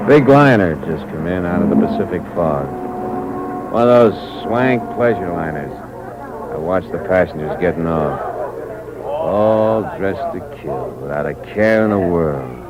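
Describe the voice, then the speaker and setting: A tough young ex-convict, badly wounded, telling his story in clipped hard-boiled talk. His voice is very deep. The old broadcast is dull and full of hiss. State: mortally wounded, weak. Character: dying young ex-convict drifter. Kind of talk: 1950s radio drama acting.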